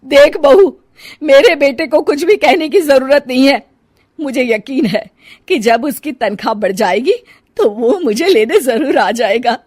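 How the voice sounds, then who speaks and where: A woman's voice: sits high, animated, a little slow, darkish tone, no echo, clean studio sound. A woman playing an older mother-in-law in a dramatised moral story, sulky, sentimental and doting, scolding and pleading. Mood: stubbornly hopeful.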